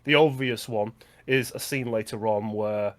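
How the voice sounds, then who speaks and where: A man's voice: fairly low pitch, steady tone, measured pace. A man co-hosting a film podcast, talking casually with an analytical, opinionated manner.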